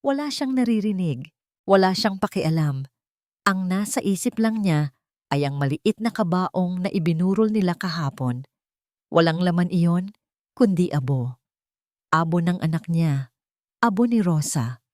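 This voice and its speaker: Expressive low-voiced woman narrator. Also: measured pace, long pauses, no echo, clean studio sound. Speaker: audiobook narrator. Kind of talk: dramatic scripted narration of a romance drama.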